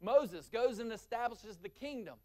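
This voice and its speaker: A male pastor preaching a sermon, building force through long lists and dropping low and quiet for key points. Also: lively intonation, measured pace.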